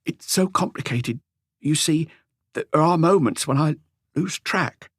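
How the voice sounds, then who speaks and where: A man's voice: a little high, measured pace, breathy edge, dry recording, studio quality, pitched above the performer's own voice. A man voicing a timid, humble, nervous tradesman in an audiobook, hesitant and apologetic in the way he talks. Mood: confused, hesitant. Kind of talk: audiobook character dialogue.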